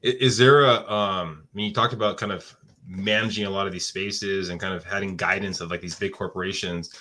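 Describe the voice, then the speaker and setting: Bright-toned hesitant podcast host. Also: male voice, sits low, fairly even intonation, brisk. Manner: hesitant, rambling questions. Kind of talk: interview questions.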